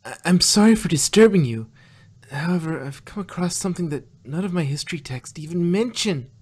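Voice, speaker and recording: A performer voicing an earnest, curious, bookish young female pony student with a husky voice, for a fan fiction reading. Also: mid-range voice, lively intonation, brisk, darkish tone. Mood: earnest, respectful.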